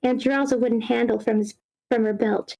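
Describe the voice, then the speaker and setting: A woman's voice: on the high side, fairly even intonation, fairly quick, creaky, warm tone. A woman reading screenplay action lines aloud as the narrator of a live table read.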